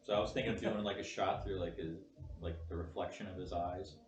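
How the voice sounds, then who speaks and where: A man's voice: deep voice, fairly even intonation, a little fast. A man, a film director, casually explaining his ideas in conversation. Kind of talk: casual production chat. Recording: distant mic, echoey room, faint noise.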